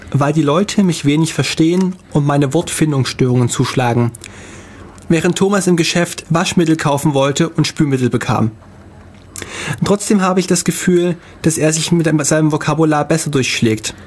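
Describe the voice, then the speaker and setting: A man co-hosting a casual podcast, telling travel stories in a halting way with many fillers. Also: on the high side, a little mellow, slight background noise. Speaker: podcast co-host. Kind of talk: casual chat.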